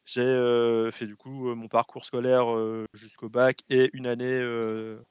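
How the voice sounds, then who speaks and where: A young man from French Guiana, a guest in an interview, speaking in a relaxed way with constant hesitations. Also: mid-range voice, a little slow, clean tone, no room sound, lo-fi sound. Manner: relaxed, very hesitant with constant fillers, laughs often.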